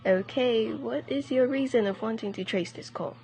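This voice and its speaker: A woman's voice: on the high side, moderate pace, vocal fry, dark tone. Young woman as a composed telephone operator, faint noise. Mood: composed, polite. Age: teenager. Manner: polite, matter-of-fact. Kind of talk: amateur radio drama acting.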